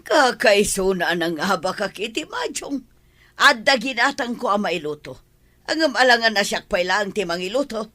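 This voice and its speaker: A woman's voice: mid-range voice, animated, moderate pace. Bossy Ilocano grandmother, swooping and scolding. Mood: irritated. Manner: sharp-tongued, exclamatory. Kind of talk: Ilocano radio drama acting.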